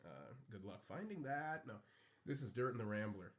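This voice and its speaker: A man's voice: on the low side, expressive, moderate pace. A young man co-hosting a casual podcast, rambling and joking crudely and laughing often. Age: young adult. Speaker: podcast co-host. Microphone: podcast microphone.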